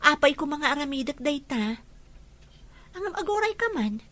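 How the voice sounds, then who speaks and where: A young woman with an Ilocano Filipino accent playing a forgiving, excitable elder sister in an audio drama. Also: sits high, moderate pace, dry recording. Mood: curious, surprised. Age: young adult. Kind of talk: audio drama acting in dialogue.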